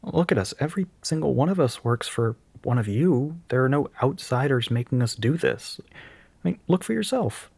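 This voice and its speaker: Breathy, earnest young soft-boy spokesman played by a man. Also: mid-range voice, lively intonation, dry recording. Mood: flustered, defensive. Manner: hesitant, halting, politely firm. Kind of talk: audio roleplay monologue, addressing the listener.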